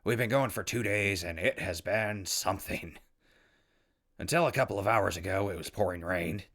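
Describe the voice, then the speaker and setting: A man playing a wry, weary, good-hearted and easily exasperated wasteland survivor in an audio drama, with a husky, gravelly voice. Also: lowish voice, moderate pace, a bit bright. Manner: sarcastic, colloquial, exasperated. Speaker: protagonist and narrator. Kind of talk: post-apocalyptic audio drama acting.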